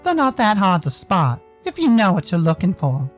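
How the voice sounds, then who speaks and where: A man voicing an aloof, terse male furry animal in a visual novel, with slow, clipped replies and a faint jeer. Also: sits high, wildly swooping pitch, faint music behind, voice pushed up. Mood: jeering, impatient.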